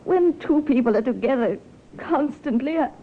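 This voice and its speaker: An actress playing a composed, scheming young widow in a 1950s radio drama, with halting, theatrical delivery. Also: female voice, high voice, animated. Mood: distressed, confessing. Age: young adult. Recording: tinny, hissy 1950s radio recording.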